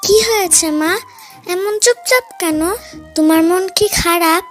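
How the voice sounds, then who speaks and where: A woman's voice: extremely high pitch, measured pace, a bit bright. A woman voicing a clever, cheerful little animal daughter in a children's cartoon, eager and reassuring. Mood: curious. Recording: music under the voice.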